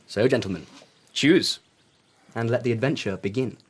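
A man's voice: mid-range voice, moderate pace, close to an everyday voice. A man playing a whimsical, romantic emperor of old Japan in a radio drama, with a breezy, regal manner. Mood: breezy, eager.

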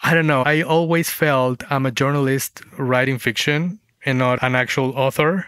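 A man's voice: medium pitch, fairly even intonation, normal speed, fairly bright tone, clean tone, no echo. Man, close dry sound. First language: Spanish. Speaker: guest author, former journalist. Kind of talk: reflective interview storytelling.

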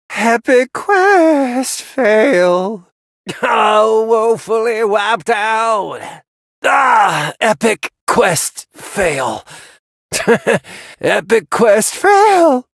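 An actor voicing a brash heavy-metal dragon-riding knight in a video game, with a bombastic, theatrical delivery and drawn-out battle cries. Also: medium pitch, a bit bright, dry recording, studio quality, exaggerated voice. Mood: wailing, defeated.

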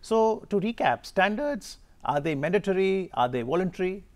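A man in his thirties hosts a morning TV interview from a studio set. He asks polite, measured questions, pausing often with a drawn-out "uh". His voice is picked up by a lapel mic. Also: high-pitched, lively intonation, darkish tone. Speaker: TV morning show host.

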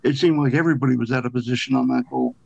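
A man's voice: medium pitch, racing, gravelly, breathy edge, no room sound. A man working as a sports reporter, asking long, rambling questions at a press conference. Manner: rambling, piles up clauses in his questions. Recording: dull dry call audio.

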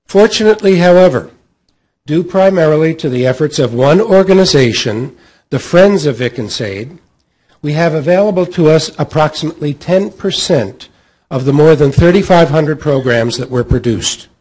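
Husky middle-aged American man, higher-pitched voice. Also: animated, normal speed, darkish tone, low-quality recording. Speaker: panel moderator. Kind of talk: scripted introduction read aloud. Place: hotel meeting room at a convention. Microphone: table microphone on a stand.

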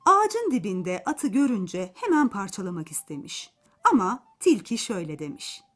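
A woman's voice: medium pitch, big pitch swings, moderate pace, a bit bright, soft background music. A woman narrating a scripted folk tale expressively for children. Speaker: children's storyteller.

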